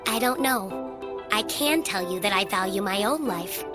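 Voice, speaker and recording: A woman's voice: mid-range voice, lively intonation, a little slow, lightly acted. A woman playing an intelligent, emotionless parasite creature in an anime dub, speaking formally and precisely. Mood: cold, matter-of-fact. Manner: formal, precise, detached, clinical speech. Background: music.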